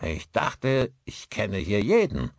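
A man voicing a hearty, helpful village landlord with a loud, familiar manner. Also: lowish voice, wildly swooping pitch, takes it slowly, vocal fry, no room sound, clean studio sound, voice pushed up, exaggerated voice. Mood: puzzled.

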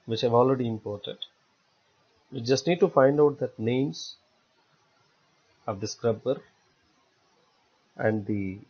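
Husky man narrating a tutorial, muffled sound. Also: medium pitch, mellow. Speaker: software tutorial instructor. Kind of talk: screen-recorded step-by-step tutorial narration. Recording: dull lo-fi voice-over recording.

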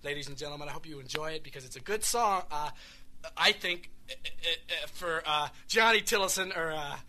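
A street singer, a man, rambling earnestly through song introductions with many restarts, his voice a little hoarse. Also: fairly high pitch, a little fast, bright, forceful tone.

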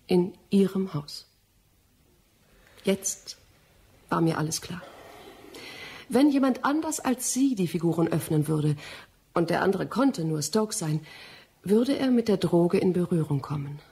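Husky, scheming lady scientist. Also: lowish voice, warm tone, full character voice. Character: shrewd, scheming female pharmacy expert, coolly blackmailing. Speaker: radio drama actress. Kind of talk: German radio play acting.

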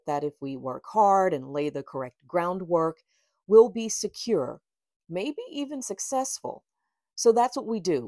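A middle-aged woman with a slightly low voice reads aloud from her own book. Her phrasing is measured and deliberate, with room for casual asides. The sound is very dry, with no room echo. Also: a little mellow. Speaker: author and tarot reader. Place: small book-lined room indoors.

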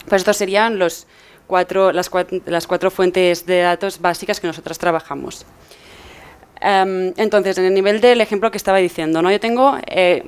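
A woman's voice: fairly low pitch, clear, resonant tone. A young woman from gives an explanatory technical presentation, somewhat hesitantly, often checking with tag questions. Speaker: conference speaker. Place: medium-sized indoor event room with seated audience.

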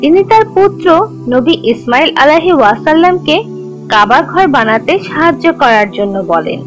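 A woman playing a kind teacher who tells religious stories to children in a measured, instructive, scripted way. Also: high-pitched, big pitch swings, soft background music, low-quality recording. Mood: instructive, gentle. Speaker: storyteller narrator teaching children.

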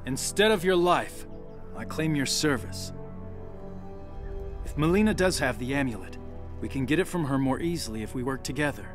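A man's voice: medium pitch, expressive, normal speed, long pauses, music in the background, lightly acted. A man playing an earnest young warrior monk in a game, speaking formally and persuasively. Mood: composed, resolute. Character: earnest young male warrior monk, smooth-talking diplomat. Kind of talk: video game cutscene acting.

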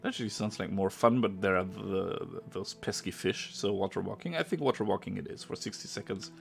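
A man with a German accent, a non-native English speaker, giving chatty let's-play commentary and sometimes pausing to search for a word. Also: sits low. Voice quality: gravelly. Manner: chatty, pauses to search for words. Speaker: gameplay streamer.

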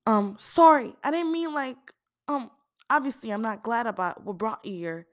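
A woman voicing a chatty, friendly, excitable teenage schoolgirl in an audiobook, with a gushing manner. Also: fairly high pitch, moderate pace, pitched above the performer's own voice. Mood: awkward.

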